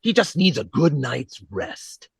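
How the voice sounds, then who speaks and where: A man's voice: fairly high pitch, sing-song, slow, vocal fry, breathy edge, no echo, clean studio sound, heavily acted. A man playing a bumbling, cheerfully callous doctor with a hesitant stammer in an old-time radio comedy. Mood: breezy, reassuring.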